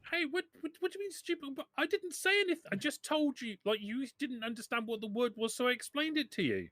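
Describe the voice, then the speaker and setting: A man playing an excitable, defensive businessman trader in an improvised tabletop roleplaying game. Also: very high-pitched, quick pace, dry recording, voice pushed up, exaggerated voice. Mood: indignant.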